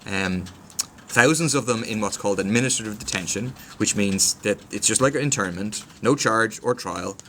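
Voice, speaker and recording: A man's voice: lowish voice, normal speed, fairly bright tone, slight background noise. An Irish man talking in a casual livestream, reading out viewer comments and answering them earnestly, with frequent ums. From Ireland. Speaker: politician answering viewer questions.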